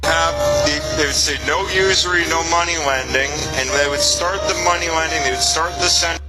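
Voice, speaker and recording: A man with a slightly raised voice explains history in a steady, measured way. His narration comes from a played video clip, with loud music running underneath. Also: on the high side, low-quality recording. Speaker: documentary narrator.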